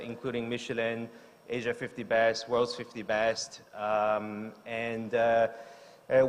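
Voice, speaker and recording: A middle-aged man from Hong Kong speaks at a conference, hesitant and leaning on fillers as he restarts his sentences. His voice sits at an ordinary middle pitch. The mic picks up a long hall reverb. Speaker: chef giving a talk. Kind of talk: unscripted conference speech. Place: large conference hall stage.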